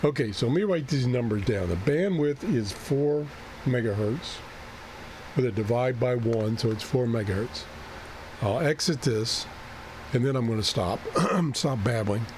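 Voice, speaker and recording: A man who is losing his voice and keeps clearing his throat talks through a radio setup, thinking aloud and correcting himself as he goes. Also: mid-range voice, animated, unhurried, darkish tone, a little hiss or hum, poor audio. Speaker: ham radio video host. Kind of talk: unscripted screen-share walkthrough.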